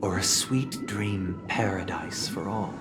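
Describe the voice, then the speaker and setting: A man voicing a solemn, eloquent young leader in a video game, speaking in formal, measured oratory. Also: low voice, fairly even intonation. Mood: grave, persuasive. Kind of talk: dramatic video game dialogue. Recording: loud music under the voice. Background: game music.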